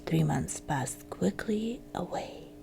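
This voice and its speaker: A woman reading a fairytale as ASMR storytelling, in a breathy, crackly whisper with hushed phrasing and gentle pauses. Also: low-pitched, animated.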